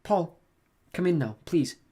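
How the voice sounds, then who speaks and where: A man doing a strained, bitter noblewoman, lifted into a higher register. She holds her emotion back in a storybook voice. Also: animated, a little slow, mellow, slightly rough. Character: troubled noblewoman, a bitter young mother. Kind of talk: audiobook character reading.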